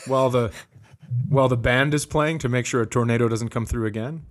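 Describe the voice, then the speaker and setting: A man, a comedian co-hosting a podcast, trading casual banter with dry, quick quips. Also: medium pitch.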